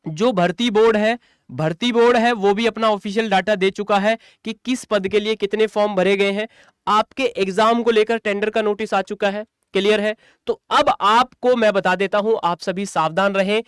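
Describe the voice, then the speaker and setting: A man's voice: high voice, fairly even intonation, normal speed, clean tone, no room sound, clean studio sound. A man speaking as a coaching teacher, explaining an update to students in an emphatic, repetitive, direct way.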